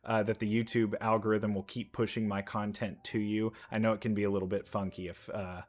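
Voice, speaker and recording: A man's voice: fairly low pitch, flat delivery, a little fast. A man giving casual reaction commentary as a video creator watching game trailers.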